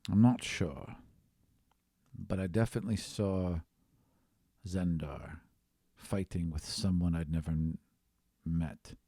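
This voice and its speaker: A man voicing a controlling, calculating young male student with a clipped, formal, deliberate way of speaking. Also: low-pitched, fairly even intonation, dark tone, dry recording, clean studio sound, pitched below the performer's own voice, heavily acted. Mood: controlled, brooding.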